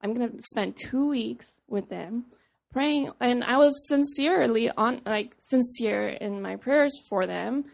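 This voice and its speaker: Earnest woman with a gravelly edge. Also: fairly high pitch, measured pace. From Papua New Guinea. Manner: earnest, conversational, restarts and acts out dialogue. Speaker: speaker sharing a testimony. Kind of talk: personal testimony talk.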